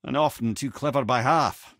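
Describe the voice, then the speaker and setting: An actor playing a clever old male sage, a severed head, in a video game, with a Scottish accent, a husky voice and a wry, wordy storyteller's manner. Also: medium pitch, normal speed, a bit bright, no room sound, clean studio sound.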